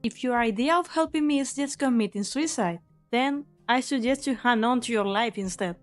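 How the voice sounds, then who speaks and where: A woman's voice: on the high side, moderate pace, faint music behind, close to an everyday voice. A woman playing a cynical, sly young mercenary leader in an anime game fan dub, with a sardonic, taunting delivery. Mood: cool warning.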